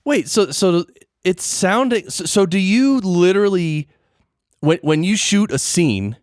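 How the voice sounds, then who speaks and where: A man hosting a casual interview, animated and curious, often restarting his sentences. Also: sits high, unhurried, no echo, studio quality. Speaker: podcast host and interviewer.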